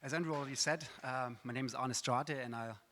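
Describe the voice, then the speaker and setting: A man for whom English is a second language addresses a conference audience. He is friendly and self-deprecating, often pausing on fillers. His voice carries a long reverb from the large hall. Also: medium pitch, sharp tone, slight background noise. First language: not English. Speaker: conference speaker. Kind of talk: conference presentation.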